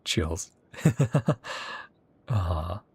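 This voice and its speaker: A woman's voice: a bass voice, animated, drawn-out words, warm tone, slightly rough. A young adult woman streamer giving chatty reaction commentary, humming along and reading lines aloud. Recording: game music playing behind.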